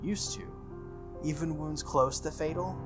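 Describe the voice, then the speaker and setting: A man's voice: fairly high pitch, measured pace, lightly acted. A man voicing an earnest, hesitant teenage boy, a reluctant young master in a visual novel. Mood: startled, incredulous. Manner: incredulous, casual, hesitant. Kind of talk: visual novel character read-aloud. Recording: music under the voice.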